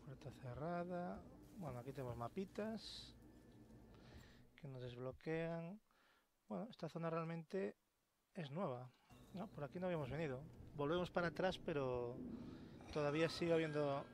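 A man's voice: a little high, moderate pace, long pauses. Spanish gamer guy, game noise behind. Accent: Spain. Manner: thinking aloud, swearing. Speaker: gamer narrating a let's play. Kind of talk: casual gameplay commentary. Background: video game ambience.

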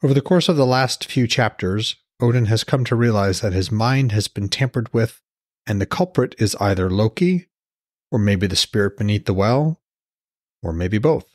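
A man narrates a novel in a low voice with some huskiness. The sound is dry, and he delivers scripted storytelling as host of his own podcast. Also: expressive, moderate pace, a little mellow, dry recording.

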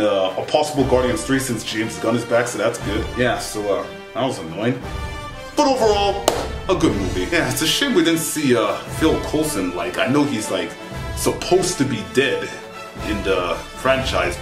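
A young man speaks in an average-pitched voice, reviewing casually and conversationally with plenty of slang. He sounds animated, recorded a short way from the camera mic in an echoey room, with faint music behind him. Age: young adult. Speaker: film reviewer. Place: small wood-furnished bedroom, indoors.

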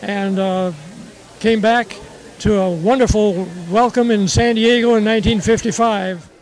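A man in his eighties and a veteran, giving a crisp, matter-of-fact statement in an airport terminal. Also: sits high, fairly even intonation, measured pace, rough recording. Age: 80s.

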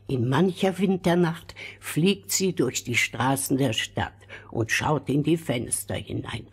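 An elderly storybook grandmother in an audio drama. Her low voice is very husky and slow, with a mysterious, gently firm manner. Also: heavily acted. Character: kindly old grandmother telling winter tales. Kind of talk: German fairy-tale audio drama acting.

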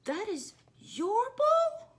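A woman voicing a small, earnest, excitable animal friend from a picture book, speaking emphatically. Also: extremely high pitch, very slow, a little hiss or hum, voice pushed up, full character voice. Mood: astonished. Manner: emphatic, exclaiming.

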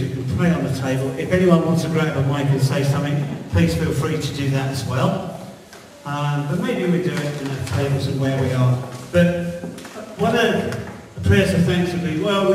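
A middle-aged man leads prayer at a church gathering, relaxed and rambling, a little high for a man. His handheld mic is heard from far back in a big, boomy hall with a long ring and light hiss. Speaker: church leader. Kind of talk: leading prayer at a church meeting. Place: large church hall indoors. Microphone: handheld mic, filmed from far back. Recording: echoey hall audio from far off, faint hiss.

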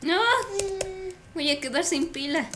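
A woman's voice: high-pitched, steady tone, unhurried, a crowd in the background. A young woman chatting casually and exclaiming while playing a video game. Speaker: gamer. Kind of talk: casual chat while gaming.

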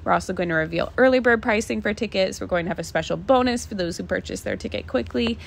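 A woman's voice: medium pitch, big pitch swings, fast, gravelly. A woman hosting a podcast solo, talking casually in an enthusiastic, chatty manner. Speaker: podcast host.

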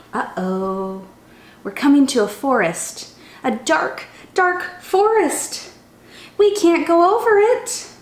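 A young woman, a children's storytime teacher, reading a picture book aloud in an animated, sing-song way, speaking straight to young listeners. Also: high voice, takes it slowly. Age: young adult. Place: small room indoors. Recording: roomy indoor echo.

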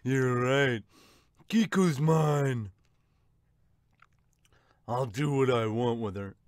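A man's voice: medium pitch, extremely slow, no room sound. A man puts on a selfish manga sumo champion. He is proud and boastful, speaking with entitlement in a dry, close recording. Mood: cold, entitled.

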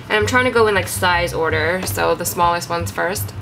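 A woman's voice: fairly low pitch, moderate pace, poor audio. A young woman with a stuffy, congested voice, chatting casually as she shows her handmade craft pieces, apologetic and full of ums and likes. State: congested from a cold. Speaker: craft channel host. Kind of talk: casual show-and-tell vlog. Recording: clear music behind the voice.

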